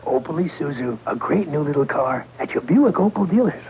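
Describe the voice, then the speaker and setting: A man's voice: on the high side, lively intonation, brisk, husky. A man announcing an upbeat scripted radio commercial. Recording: dull, faintly hissy radio broadcast.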